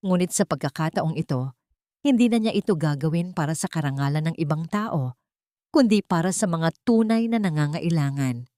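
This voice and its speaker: Female Filipino narrator for dramatic audiobook fiction; low register, dry close sound. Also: animated, moderate pace, mellow, dry recording. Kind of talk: scripted dramatic story narration.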